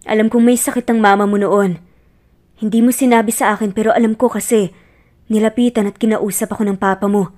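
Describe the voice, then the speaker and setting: A woman voicing a gentle, remorseful man pleading earnestly with his former girlfriend in a romance audio story. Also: medium pitch, fairly even intonation, a little fast, lightly acted. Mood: tender, earnest.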